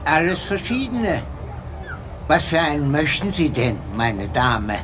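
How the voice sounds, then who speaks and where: Swooping old street peddler. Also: male voice, fairly high pitch, big pitch swings, a little slow, noisy background. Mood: wheedling. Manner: wheedling, casual salesman. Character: old grey street dog seller, evasive huckster. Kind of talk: radio play acting. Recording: loud music behind.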